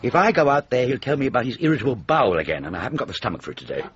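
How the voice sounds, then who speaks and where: A man's voice: medium pitch, sing-song, rapid-fire, highs cut off, natural voice. A man acting a middle-aged comedy scriptwriter in a radio drama, exasperated and anxious, with a wry, querulous, stammering manner. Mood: irritable.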